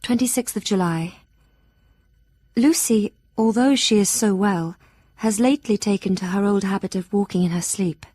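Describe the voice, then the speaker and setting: A woman's voice: mid-range voice, moderate pace, a little mellow, dry recording, close to an everyday voice. A woman playing an anxious, devoted young Victorian woman, confiding earnestly in her journal for an audio drama. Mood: gently concerned. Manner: earnest, formal, sighing.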